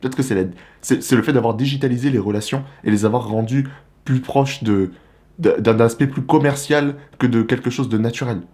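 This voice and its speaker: A young man from the Republic of the Congo giving a casual, animated, slangy monologue to the camera as a vlogger. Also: mid-range voice, brisk. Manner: animated, slangy, rhetorical questions.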